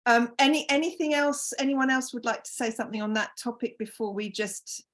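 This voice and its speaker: A woman's voice: on the high side, brisk, fairly bright tone. A woman with a British accent leading an online group conversation over a video call, reading out chat comments and inviting people to speak. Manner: encouraging, reads out chat comments. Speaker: facilitator.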